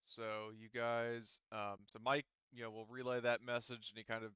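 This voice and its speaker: A man's voice: medium pitch, little pitch movement, normal speed, a bit bright, clean tone, no echo, muffled recording. A man casually narrating a tabletop roleplaying game as game master, rambling and thinking aloud as he improvises.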